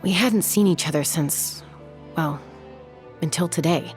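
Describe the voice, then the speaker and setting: An actress playing a calm, reflective young woman warrior in a video game, talking in a soft, measured way. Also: sits low, soft background music.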